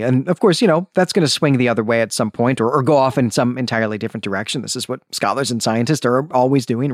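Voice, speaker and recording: A man's voice: mid-range voice, no echo. Dry-sounding man giving emphatic book commentary. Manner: emphatic, explanatory, often checks in with "right?". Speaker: podcast host. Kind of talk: book analysis, semi-scripted commentary.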